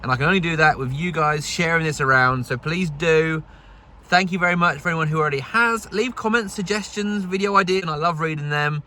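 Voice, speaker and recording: Higher-pitched man vlogging about radio. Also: fairly quick, bright, forceful tone. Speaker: amateur radio vlog host. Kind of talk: casual vlog narration with radio calls. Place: outdoors and in a vehicle. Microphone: phone camera.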